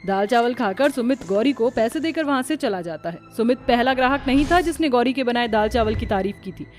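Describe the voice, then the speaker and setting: High-voiced woman narrating a story. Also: animated, a little fast, faint music behind.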